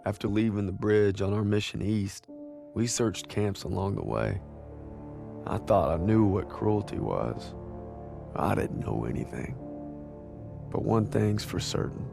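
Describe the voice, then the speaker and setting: A soft-spoken young soldier from Texas, recalls the war in a low, hushed voice. His is light and his manner is reflective. Music swells beneath him in this game cutscene. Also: measured pace, creaky, pauses often. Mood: haunted. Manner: quiet, reflective. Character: young male American infantry soldier, haunted, loyal. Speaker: soldier and narrator. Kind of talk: video game cutscene acting. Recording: game score under voice.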